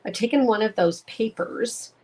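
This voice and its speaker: A woman's voice: fairly high pitch, moderate pace, a little mellow. A middle-aged woman from Canada with a head cold, hosting a casual live craft demonstration and greeting viewers by name.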